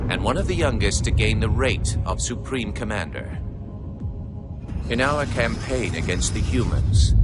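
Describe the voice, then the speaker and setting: Solemn alien record-keeper, low and formal, uneasy, game music under. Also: male voice. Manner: formal, measured narration. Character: grave, anxious alien male scribe. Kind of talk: video game cutscene narration.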